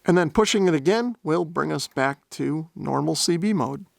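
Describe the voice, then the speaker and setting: A man's voice: a little high, expressive, normal speed, creaky, dry recording, clean studio sound. A man narrating a product demonstration in a methodical, step-by-step explanatory way. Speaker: host of a radio gear review channel.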